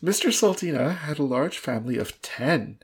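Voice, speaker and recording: Gravelly, husky woman reading a story. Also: deep voice, expressive, moderate pace. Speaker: podcast co-host. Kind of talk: dramatic book reading and casual chat.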